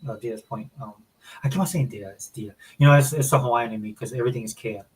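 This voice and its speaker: A man's voice: medium pitch, fast talker, husky, poor audio. A man hosting a casual podcast chat, rambling with many fillers and restarts. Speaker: podcast host.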